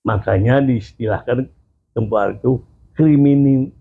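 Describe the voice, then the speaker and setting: A male guest giving slow, measured opinions in a casual interview. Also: medium pitch, creaky, husky. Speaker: guest commentator. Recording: tinny phone-like audio.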